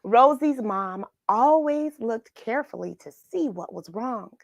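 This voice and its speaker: Female children's host, American, slightly high voice, leisurely delivery, encouraging and direct with young viewers. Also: animated, a little slow, gravelly, no room sound, clean studio sound. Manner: encouraging, question-asking, addresses children directly. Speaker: children's read-along host. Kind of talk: chatty livestream greetings and story reading for children.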